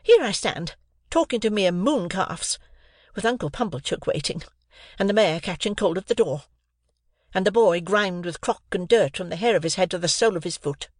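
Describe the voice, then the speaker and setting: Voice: mid-range voice, moderate pace, dry recording, clean studio sound, pitched above the performer's own voice, natural voice. A reader voicing a snappish, sarcastic older sister who delivers long reproachful tirades in an audiobook. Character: snappish, scolding older sister, sharp-tongued. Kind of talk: audiobook character voice.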